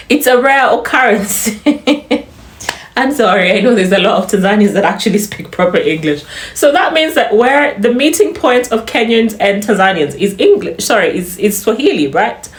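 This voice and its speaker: A young woman from Uganda with a softly polished Ugandan accent, chatting casually to camera as a vlogger, laughing often and throwing in animated asides. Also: medium pitch, roomy sound. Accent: Ugandan, slightly polished.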